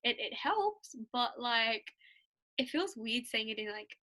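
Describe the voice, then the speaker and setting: Bright high-voiced young woman. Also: slow pace. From Australia. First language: Mandarin. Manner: animated storytelling, laughs often. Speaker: guest. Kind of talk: casual chat.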